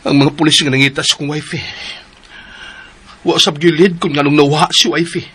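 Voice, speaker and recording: A man's voice: a little high, fairly even intonation, normal speed, sharp tone, breathy edge. An actor playing a determined husband searching for his missing wife in a radio drama. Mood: earnest. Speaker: nephew.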